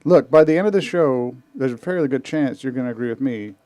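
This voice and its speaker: A man's voice: mid-range voice, quick pace, mellow. A man co-hosting a casual podcast chat, teasing his friends in a jokey way. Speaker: podcast co-host.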